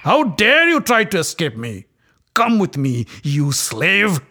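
A man's voice: high voice, wildly swooping pitch, slow, noisy background, voice pushed up, exaggerated voice. A man voicing a cruel, arrogant young prince who roars and jeers in a storybook reading. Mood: furious. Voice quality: roaring, mocking, laughing.

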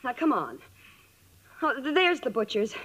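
An actress playing a sensible, exasperated young woman in an old-time radio comedy, wry and brisk in delivery. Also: on the high side, very animated, fairly bright tone. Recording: old radio recording, faint noise.

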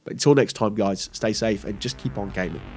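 A man's voice: lowish voice, a little fast, a little mellow, soft background music. A man hosting a casual solo gaming news podcast, stuffy with a cold, rambling and correcting himself as he goes. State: congested with a cold. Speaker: podcast host.

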